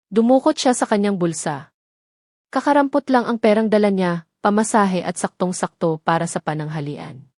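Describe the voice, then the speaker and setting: A woman's voice: medium pitch, a little mellow, dry recording, clean studio sound. A woman reading a scripted dramatic story as its narrator.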